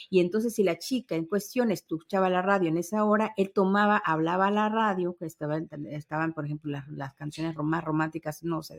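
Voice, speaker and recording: Expressive woman in a casual nostalgic chat. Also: medium pitch. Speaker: podcast co-host.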